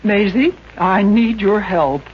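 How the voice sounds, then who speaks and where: A fretful, stingy older landlady, plaintive and fussy. Her pitch rises and drops sharply in old radio comedy style. The recording is muffled with faint noise. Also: expressive, takes it slowly. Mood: pleading, plaintive. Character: fretful, nosy, stingy boarding-house landlady, older woman. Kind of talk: 1950s radio comedy acting.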